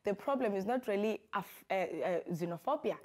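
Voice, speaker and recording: A woman's voice: mid-range voice, animated, brisk, darkish tone. A woman from South Africa in her thirties, a human rights activist answering questions earnestly on a talk show, with many fillers and restarts. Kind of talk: talk show guest interview.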